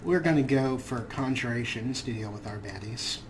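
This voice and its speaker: A man with a middle-pitched voice talks through his gameplay in a relaxed, explaining way, slipping in dry, wry jokes. Clear background noise runs behind his voice. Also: moderate pace. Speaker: let's play host. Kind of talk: casual game commentary.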